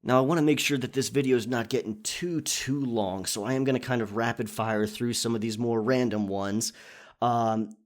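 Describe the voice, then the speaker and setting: A man with a mid-pitched voice talks casually to his listeners as the host of his own audio channel. His delivery is conversational and chatty, like an informal question and answer session. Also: steady tone, normal speed.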